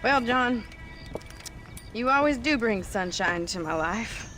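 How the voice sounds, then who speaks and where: A woman playing a sharp-tongued rancher's daughter, sarcastic and haughty, with an American accent in a western video game. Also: fairly high pitch, lively intonation, slow, soft background music, heavily acted. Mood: bitter.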